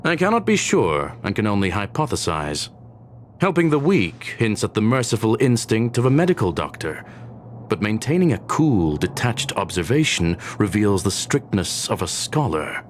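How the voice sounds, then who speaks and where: An actor playing a courteous, coolly logical genius ruler in a video game, with formal, analytical phrasing. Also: male voice, fairly low pitch, big pitch swings, normal speed, slight background noise. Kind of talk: scripted video game cutscene dialogue.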